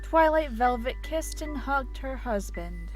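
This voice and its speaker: A woman's voice: sits high, animated, unhurried, over music. A woman reading scripted story narration as the narrator of a dramatic tale.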